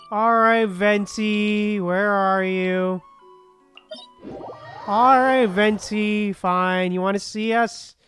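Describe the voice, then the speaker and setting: A woman's voice: medium pitch, fairly even intonation, very slow, long pauses, a bit bright. A woman giving casual, easygoing, giggly gameplay commentary as a streamer. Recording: game music behind.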